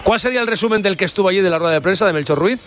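A man with a Spanish accent from Spain hosting live sports talk, musing haltingly and stretching out words. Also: sits high, a bit bright, a little hiss or hum. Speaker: sports radio host.

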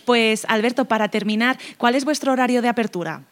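A woman's voice: fairly high pitch, fairly quick. A woman hosting a radio show, interviewing a guest in a friendly, easygoing way. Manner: friendly, laughs easily. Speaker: radio host.

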